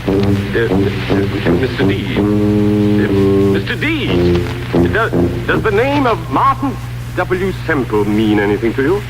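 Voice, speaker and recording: Flustered stammering messenger, low voice, very animated swoops, 1930s radio play. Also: male voice, over loud music, exaggerated voice, poor audio. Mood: flustered, insistent. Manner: stammering, halting, excitable. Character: flustered, hesitant messenger bringing astonishing inheritance news. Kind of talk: 1930s radio comedy-drama acting.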